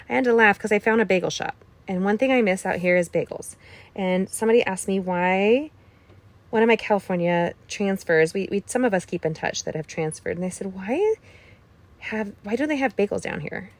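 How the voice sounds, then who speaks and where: A woman with a slightly scratchy voice walks viewers through a card-making project in a relaxed, chatty way. She tosses in playful asides and often drops her voice to a near whisper. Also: medium pitch. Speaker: card-making tutorial host. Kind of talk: casual craft tutorial chat.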